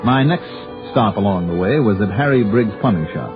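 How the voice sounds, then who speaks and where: An American actor playing a wry, cool, skeptical insurance investigator in a 1950s radio drama, with a dry, clipped delivery. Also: male voice, lowish voice, expressive, moderate pace, close to an everyday voice. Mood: wry, matter-of-fact. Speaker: lead detective and narrator. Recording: tinny, band-limited old radio recording with music.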